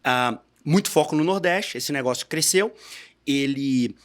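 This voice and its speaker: This is a middle-aged man from Bahia with a Bahian Brazilian accent, speaking as a podcast guest at a middle pitch. He explains things in a chatty way, fills gaps with filler words, and jumps higher when he quotes other people. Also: a little slow. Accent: Bahian Brazilian Portuguese. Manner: lively, acts out quoted dialogue in a higher voice, many fillers. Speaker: guest, capital markets entrepreneur. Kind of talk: podcast interview, explanatory storytelling.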